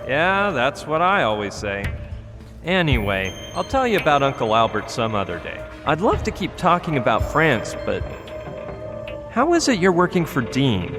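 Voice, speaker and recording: A man's voice: mid-range voice, wildly swooping pitch, moderate pace, in a large hall, music in the background. An actor voicing a sarcastic, joking young male adventure hero in a game. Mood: wry. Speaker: game protagonist.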